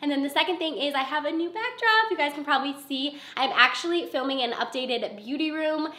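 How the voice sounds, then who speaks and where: Woman, high voice, raspy and stuffy from a cold, chatty and gushing, echoey room. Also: fairly quick. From Michigan. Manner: chatty and excitable, gushing over products. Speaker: beauty YouTuber. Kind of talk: casual unboxing vlog.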